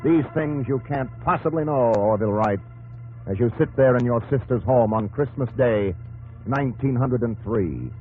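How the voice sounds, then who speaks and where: A man's voice: medium pitch, lightly acted. Guy doing old 50s radio drama narrator, solemn dramatic intimate, thin tinny broadcast w music. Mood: solemn, reflective. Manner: dramatic, measured, with terse phrases. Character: solemn male narrator speaking intimately to the hero. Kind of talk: 1950s radio drama narration in second person.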